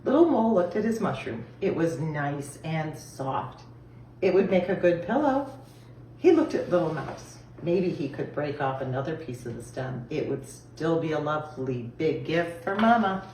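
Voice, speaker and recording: A woman reading a children's story aloud in an expressive style, recorded in an echoey room. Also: low-pitched, moderate pace. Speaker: storybook reader. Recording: echoey room, distant mic, faint noise.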